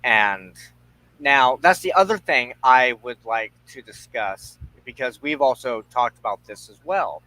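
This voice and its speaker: A man hosting a reflective spiritual discussion, talking in halting, deliberate short phrases. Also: medium pitch, expressive, pushed and bright, no echo, low-quality recording. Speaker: host of a spiritual discussion show.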